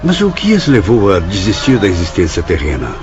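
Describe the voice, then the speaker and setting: An expressive, earnest spirit narrator. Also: male voice, sits low, a little fast, lo-fi sound, music in the background. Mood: puzzled. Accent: Brazilian. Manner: formal, literary, theatrical. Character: earnest male spirit student recalling his afterlife journey. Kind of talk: radio drama narration and dialogue.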